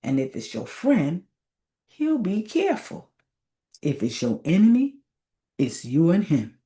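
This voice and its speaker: A folksy, patient God from a storybook folktale, played by a woman reader. He reasons things out slowly in Southern dialect, with a mellow, low tone and lively turns. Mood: considered, fair. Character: God as a male, deliberate, folksy folktale authority.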